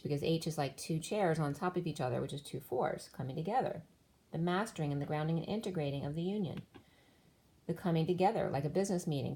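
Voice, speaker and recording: A woman's voice: on the low side, dark tone. A woman giving a solo spiritual tarot reading, explaining cards and numbers one by one while losing her voice. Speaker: tarot reader.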